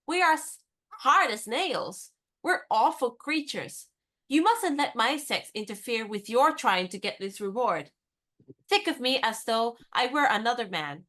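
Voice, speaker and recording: An actress playing a spirited, competitive young woman in a radio play, brisk, teasing and confident. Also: a little high, lively intonation, a bit bright.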